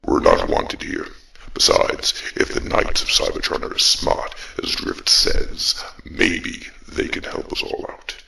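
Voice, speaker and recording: A man playing a calm, steadfast robot soldier with a very gravelly voice in an audio drama. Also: measured pace. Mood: calm, hopeful.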